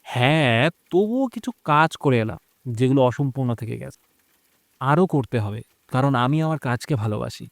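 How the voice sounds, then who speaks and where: A man voicing an earnest, soft-spoken young working man in an audio story. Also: mid-range voice, expressive, normal speed, gravelly, dry recording, studio quality, pitched below the performer's own voice. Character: calm, earnest young working man devoted to his mother.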